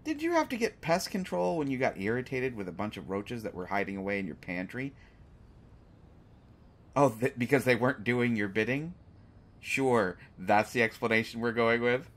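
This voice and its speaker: Man playing a sarcastic hostage, swooping animated pitch. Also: moderate pace. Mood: teasing, incredulous. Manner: teasing, sarcastic, exaggerated mock reactions. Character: sarcastic, unafraid male hostage taunting a lovesick supervillain. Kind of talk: scripted roleplay audio drama monologue.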